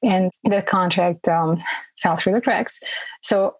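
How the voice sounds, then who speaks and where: A woman's voice: lowish voice, slow pace, slightly rough, no echo, poor audio. A middle-aged woman from Romania, answering conversationally as a podcast guest.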